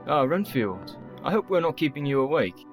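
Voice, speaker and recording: A man playing an earnest, weary, sensible gentleman doctor in a radio play, speaking in formal, measured phrases. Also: fairly high pitch, sing-song, music in the background. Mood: courteous.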